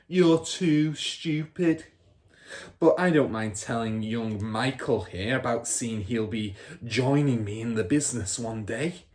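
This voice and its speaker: A man's voice: mid-range voice, slow pace, a little mellow, close to an everyday voice. A man voicing a boastful, crooked middle-aged car dealer and rude father in a storybook, bragging and scornful with heavy emphasis.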